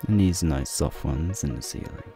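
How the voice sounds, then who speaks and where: Very deep, gravelly flirty werewolf played by a man. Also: animated, moderate pace, mellow, faint music behind, exaggerated voice. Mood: flirty, warm. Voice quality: deep, gravelly, breathy low voice. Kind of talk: ASMR roleplay, speaking to the listener. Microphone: close microphone.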